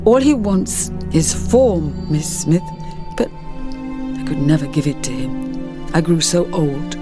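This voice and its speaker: A frail old man, played by a woman reader, tells his tale in an audiobook drama. His delivery is halting and anguished, words drawn out as if haunted and broken. Music plays behind him. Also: sits low, sing-song, exaggerated voice. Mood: sorrowful.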